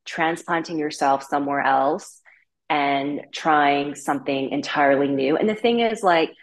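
A woman in her thirties with a Canadian accent tells her story as an interview guest. Her voice sits low, and she talks in a loose, candid way, leaning on fillers like "like" and "you know.". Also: unhurried. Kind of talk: casual conversational storytelling.

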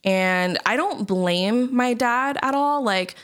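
A woman's voice: a little high, slow, a bit bright. A young woman from the United States co-hosting a podcast in casual chat, laughing often and leaning on fillers like "like" and "you know". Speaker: podcast co-host.

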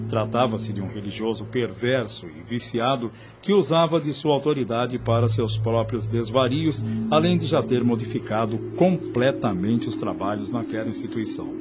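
A man's voice: mid-range voice, normal speed. A man with a Brazilian accent reading scripted radio drama narration in a solemn, literary manner. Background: music.

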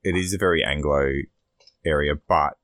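Australian male podcast host, extremely low voice, casual rambling delivery, dry close sound. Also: normal speed, fairly bright tone, no room sound. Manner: rambling, sweary. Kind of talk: casual opinionated discussion.

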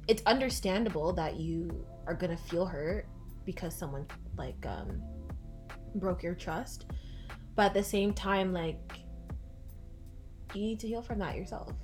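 A woman's voice: lowish voice, a little slow, long pauses, darkish tone, faint music behind. Earnest young woman, Toronto accent. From Toronto area, Canada. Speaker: podcast co-host. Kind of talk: casual chat.

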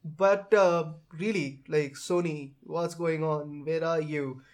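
A man co-hosting a casual tech and gaming discussion, thinking aloud in a measured way. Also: fairly high pitch, steady tone. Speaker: podcast co-host.

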